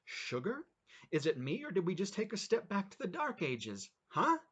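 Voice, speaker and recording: A man voicing a furious young man in a small-town cafe, ranting sarcastically and shouting his indignation. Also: high-pitched, wildly swooping pitch, normal speed, husky, voice pushed up, exaggerated voice. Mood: indignant ranting.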